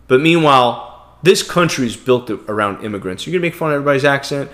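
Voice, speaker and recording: A man's voice: medium pitch, animated, quick pace, a bit bright. Blunt Brooklyn-accented man. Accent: Brooklyn New York. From Brooklyn, New York. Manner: blunt, heated, repeats words. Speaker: podcast co-host. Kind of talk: casual opinionated chat.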